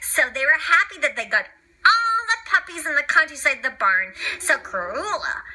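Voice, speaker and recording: A woman reading a children's story aloud as storyteller, in an animated, dramatic and expressive way. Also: high voice, poor audio.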